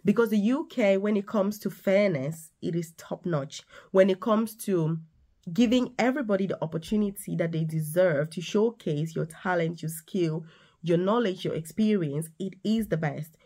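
A woman in her thirties, a nurse and career coach, talking straight to the viewer in an animated, emphatic casual vlog from a small room. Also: lowish voice, normal speed. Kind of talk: casual direct-to-camera vlog. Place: small indoor room with decorated wall.

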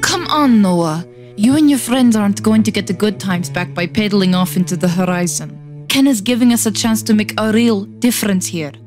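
A woman's voice: mid-range voice, moderate pace, a little mellow, close to an everyday voice. A woman playing a brash, cocky young boat-builder and rebel in a fantasy drama. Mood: teasing, persuading. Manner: brash, teasing. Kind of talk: dramatic fantasy fan-dub acting. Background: music.

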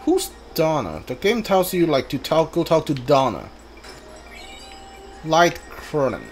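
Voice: medium pitch, sing-song, measured pace, soft background music. A video game streamer giving casual commentary and reading on-screen dialogue aloud, muttering informal asides. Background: video game ambience.

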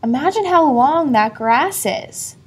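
High-voiced woman reading to a child. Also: animated, slow pace. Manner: asks a child engaging questions. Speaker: storybook reader. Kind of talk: expressive children's read-aloud.

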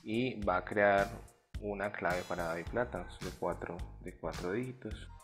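A man's voice: deep voice, a little slow, a bit bright, faint music behind. A Colombian man narrating a step-by-step tutorial as the host, in Colombian Spanish.

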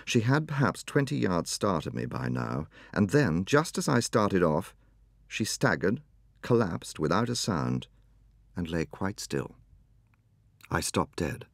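Deep, gravelly narrator. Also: male voice, big pitch swings, a little slow, dry recording, clean studio sound. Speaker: audiobook narrator. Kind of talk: scripted first-person narration.

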